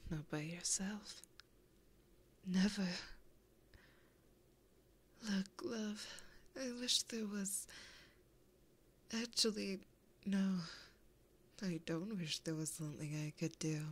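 Breathy whispery woman doing a demon for asmr roleplay, teasing n possessive, real slow, right up on the mic. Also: mid-range voice. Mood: possessive, seductive. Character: flirty, possessive, taunting demon, smugly seductive. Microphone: close binaural microphone.

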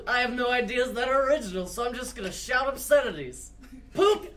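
A woman in a live comedic fandub, cracking brash, shouty jokes. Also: fairly high pitch, animated, fairly quick, heavy background noise. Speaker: fandub performer. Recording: convention crowd behind.

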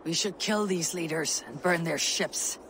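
A woman voicing a fierce, vengeful Viking warrior leader in a video game, with a gravelly voice and solemn, declamatory delivery. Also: a little slow, a bit bright, a little hiss or hum. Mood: grim resolve. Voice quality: gravelly, low-toned delivery. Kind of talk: dramatic video game acting.

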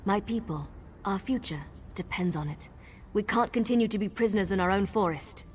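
A woman's voice: mid-range voice, brisk, a little hiss or hum, natural voice. Woman acting an earnest, urgent elf leader. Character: earnest female elf leader pleading for her people. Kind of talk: fantasy video game acting.

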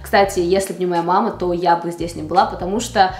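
A woman's voice: lowish voice, steady tone, fast talker. A woman in her twenties from the Russian Far East, a beauty vlogger chatting casually to camera in a room at home. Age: 20s. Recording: roomy indoor echo.

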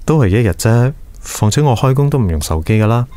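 A man's voice: medium pitch, animated, quick pace, dark tone, lightly acted. An actor playing a caring, reassuring young male team leader in a radio drama. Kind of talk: Cantonese radio drama acting.

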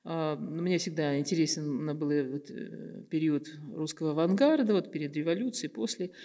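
An older woman from Kazakhstan, a guest artist answering questions thoughtfully, with many pauses and hesitant fillers. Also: low voice, warm tone. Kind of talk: reflective interview talk. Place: bright room with plants, seated at table. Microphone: table microphone on stand. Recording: roomy reverberant room.

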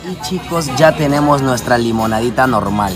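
A man's voice: mid-range voice, moderate pace. A man touring a restaurant for his vlog in a cheerful, chatty way, talking to viewers. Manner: upbeat, keeps addressing viewers as "chicos". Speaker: vlogger. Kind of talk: casual restaurant tour vlog. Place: busy outdoor restaurant terrace at night. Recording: loud background music.

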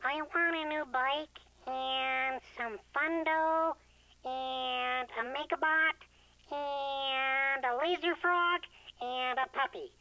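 Woman as a high, bright, greedy little boy. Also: fairly even intonation, slow pace, heavily acted, rough recording. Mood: eager, greedy. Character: greedy, excited little boy listing toys. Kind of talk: comic puppet show radio skit.

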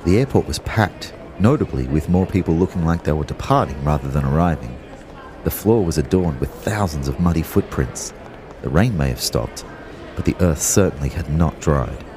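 Guy playing a young trainer narrating his own story, low voice, dry n measured, outdoor noise behind him. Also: animated. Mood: wry, fond. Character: Kyle, young male personal trainer, wry lovestruck first-person narrator. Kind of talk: audio drama narration.

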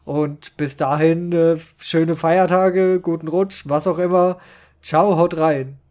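A man's voice: on the high side, fairly even intonation, takes it slowly, a little mellow. A man telling a comic first-person gaming story in colloquial, slangy language. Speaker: storyteller and channel creator.

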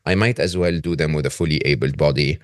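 A man's voice: extremely low pitch, fast talker, no room sound, studio quality. A man in his late fifties, hosting a podcast over a video call from a home office, speaking candidly and reflectively with frequent fillers. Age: 50s. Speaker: podcast host. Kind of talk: casual conversational interview.

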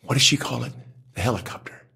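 An actor playing a hardened, self-assured military commander giving a stern, formal audio log in a video game. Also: male voice, medium pitch, fast, creaky, pushed and bright, breathy edge, heavily acted. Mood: wistful.

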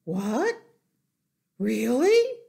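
A woman's voice: a little high, sing-song, extremely slow, vocal fry, dark tone, pitched above the performer's own voice, exaggerated voice. A woman voicing a hysterical, childish young male pig who wails and pleads in a storybook reading. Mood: hopeful disbelief. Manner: screaming, wailing pleas.

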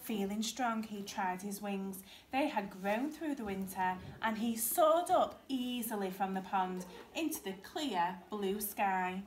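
A woman in her thirties reading a picture book aloud as a teacher, in an expressive storytelling style. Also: medium pitch, a little slow, few pauses. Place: primary school classroom, indoors. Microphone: camera a short distance away.

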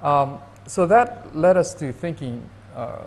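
A man from Hawaii, a guest in an interview, speaking thoughtfully and haltingly with many restarts. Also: fairly high pitch, lively intonation. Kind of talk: reflective interview talk.

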